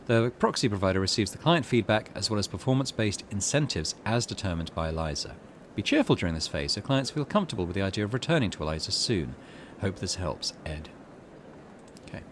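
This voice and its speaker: A man's voice: on the low side, slight background noise. A man hosting a gaming channel, reading game text aloud and adding musing commentary, with faint game ambience behind him.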